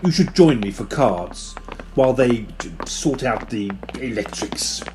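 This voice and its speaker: A woman's voice: rumbling low, expressive, a little slow, a little mellow, a little hiss or hum. A woman playing a grumpy, blunt, impatient husband in an audio drama, curt and complaining. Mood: petulant.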